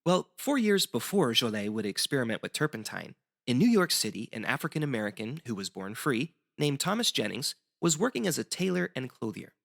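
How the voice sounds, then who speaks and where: A man's voice: mid-range voice, sing-song, fairly quick, vocal fry, a bit bright. A man as a guest explaining history in semi-scripted educational banter, articulate and wry.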